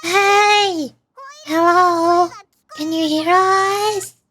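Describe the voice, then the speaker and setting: A woman voicing a small, excitable fairy-like girl companion from a game, eager and inquisitive. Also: very high voice, very slow, slight background noise, pitched above the performer's own voice, heavily acted. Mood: concerned, curious.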